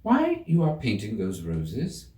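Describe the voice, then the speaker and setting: Polite young storybook girl, voiced by a man, timid yet plucky, lively pitch, roomy echo. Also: takes it slowly, distant mic. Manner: polite, plain-spoken. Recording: roomy echo, slightly off the mic.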